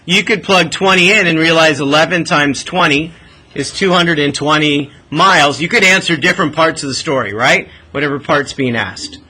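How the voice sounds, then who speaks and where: Bright-voiced man teaching a math class. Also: fairly high pitch, moderate pace, low-quality recording. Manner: asks frequent checking questions. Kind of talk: classroom lesson, explaining step by step.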